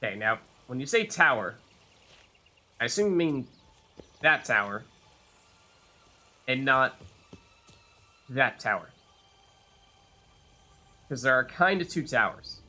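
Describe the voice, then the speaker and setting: Bright-voiced man, chatty game streamer. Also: medium pitch. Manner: chatty, thinking aloud, musing. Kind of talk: casual live let's play commentary.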